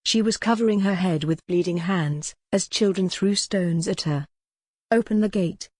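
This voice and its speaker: A woman reading scripted historical romance as an audiobook narrator, measured and articulate. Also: lowish voice, dry recording, clean studio sound.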